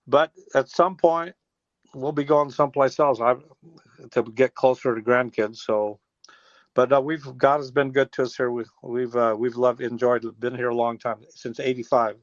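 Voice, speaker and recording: A man's voice: medium pitch, fairly even intonation, normal speed, dry recording. An American man in his sixties chatting casually as an interview guest, folksy and rambling, with trailing restarts. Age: 60s. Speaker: interview guest, teacher and former air traffic controller. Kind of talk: casual phone interview, reminiscing. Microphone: telephone. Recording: dull, lo-fi phone line.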